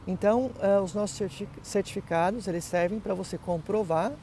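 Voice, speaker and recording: A man's voice: sits high, a little fast, dark tone, a little hiss or hum. A middle-aged man teaching German, explaining how his online courses work in a conversational way, full of fillers and tag questions. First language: Portuguese.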